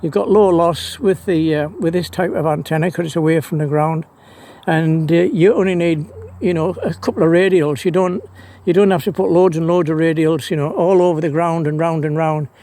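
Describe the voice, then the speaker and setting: A man with a fairly high-pitched voice talks casually as a hobbyist presenter. He explains things off the cuff in a halting, rambling way, circling back and repeating phrases as he goes. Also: fairly even intonation, warm tone. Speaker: amateur radio hobbyist presenter. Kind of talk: casual explanatory vlog, unscripted. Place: outdoors by trees and a fence.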